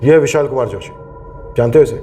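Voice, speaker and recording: An actor playing a stern, sardonic senior police investigator in a TV crime drama, probing and pointed. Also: male voice, mid-range voice, fast talker, dark tone, soft background music, lightly acted. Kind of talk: Hindi police procedural drama acting.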